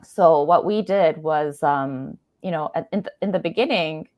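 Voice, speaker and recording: Low-voiced chatty Canadian woman. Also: moderate pace, dry recording, dull audio. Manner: chatty, laughs often, many fillers like "like" and "you know". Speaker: guest. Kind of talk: casual conversation.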